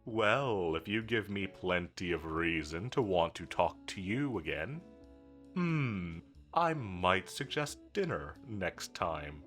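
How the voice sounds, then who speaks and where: Man playing a slow-drawling, suave villain commander. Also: low voice, big pitch swings, a bit bright, soft background music, heavily acted. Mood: playful, flirtatious. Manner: drawling, flirtatious teasing with commanding edge. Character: confident, teasing male villain commander, suave and bossy. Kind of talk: scripted roleplay audio drama addressed to the listener.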